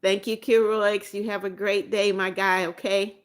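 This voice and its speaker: A woman's voice: mid-range voice, little pitch movement, moderate pace. A woman in her seventies hosting a casual livestream. She reads viewer comments aloud and answers them with frequent ums and restarts, and she often repeats her phrases. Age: 70s. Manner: calls herself Mommy Beth and repeats phrases. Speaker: livestream host. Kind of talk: casual live-stream chat.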